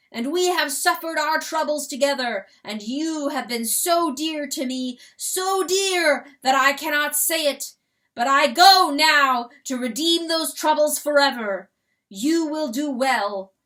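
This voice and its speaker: A woman's voice: high voice, slow pace, sharp tone, pitched above the performer's own voice, full character voice. A woman voicing a noble male rooster lord who speaks in ringing, declamatory tones. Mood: solemn farewell. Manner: ringing, declamatory crowing speech.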